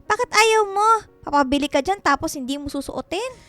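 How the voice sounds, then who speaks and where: Shrill, fussy Filipina mother. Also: lively intonation, a little fast, a bit bright, no echo. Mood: nagging. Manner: exclamatory, scolding, motherly. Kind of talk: radio drama acting.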